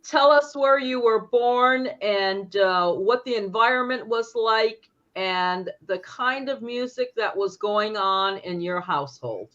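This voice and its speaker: Slow-speaking woman interviewer, muffled sound. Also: medium pitch, fairly bright tone. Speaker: co-host interviewer. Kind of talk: interview questions.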